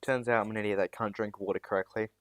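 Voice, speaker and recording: A man's voice: lowish voice, steady tone, quick pace, a bit bright, no echo. Teen boy streamer from South Australia. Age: teens. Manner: rambling and self-deprecating, with many restarts. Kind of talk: casual live-stream chat. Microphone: new USB mic.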